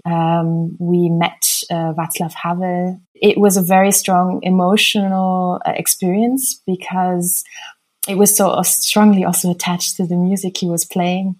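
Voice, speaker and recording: A middle-aged woman with a mixed German and American accent, giving thoughtful, hesitant interview answers with many pauses. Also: fairly low pitch, a little mellow. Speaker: interview guest.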